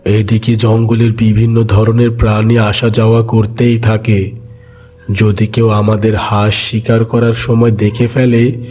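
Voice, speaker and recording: A man's voice: fairly low pitch, level tone, a little slow, mellow, faint music behind, close to an everyday voice. A man voicing a cautious, scheming tiger uncle who explains his plans in a cartoon story. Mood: cautioning.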